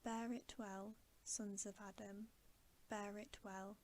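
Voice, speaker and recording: A noble storybook lion king, read by a woman, solemn and hushed. He speaks in slow, measured, even phrases, with light hiss behind. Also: mid-range voice, lightly acted. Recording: steady background hiss.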